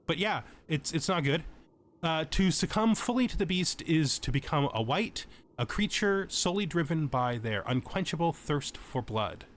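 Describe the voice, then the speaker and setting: A man's voice: fairly high pitch, lively intonation, normal speed, a little hiss or hum. A man giving a tutorial-style gaming commentary, moving between reading out game text and explaining it informally. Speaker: host of a gaming channel.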